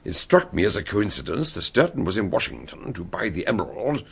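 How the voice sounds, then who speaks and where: An actor playing a shrewd male detective who calmly lays out how the crime was solved in a radio drama. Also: lowish voice, gravelly, breathy edge, exaggerated voice. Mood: explaining. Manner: explaining, measured.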